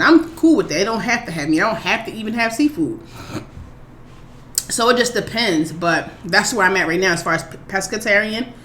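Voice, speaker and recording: A woman in her thirties from Maryland, chatting casually and rambling through viewer questions on her eating show. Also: mid-range voice. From Maryland, USA. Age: 30s. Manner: rambling, chatty, relaxed, with many fillers. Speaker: mukbang vlogger. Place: home. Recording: echoey room, faint background noise.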